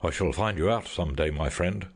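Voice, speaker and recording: Casting: woman playing a deep, husky, blustering doctor, lively and cranky, audiobook. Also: normal speed, exaggerated voice. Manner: gruff, blustering. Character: irritable bustling middle-aged male doctor.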